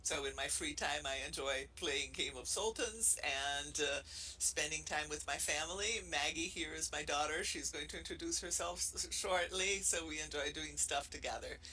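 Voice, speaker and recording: A man's voice: a little high, moderate pace, pushed and bright, slight background noise, rough recording. A middle-aged man from Brazil with a Brazilian Portuguese accent, presenting casually as a professor, playful and chatty with many restarts. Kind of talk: casual presentation to a room and online audience.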